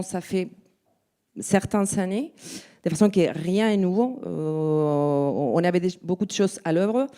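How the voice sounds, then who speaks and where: Woman, non-native French accent, panel speaker, low warm voice, halting delivery with many restarts. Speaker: NGO representative on a panel. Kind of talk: spontaneous panel talk. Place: conference room.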